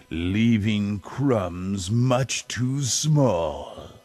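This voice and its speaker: A man giving a dramatic children's storybook reading, theatrical, with drawn-out phrases and big swells. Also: sits low, darkish tone. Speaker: storybook reader.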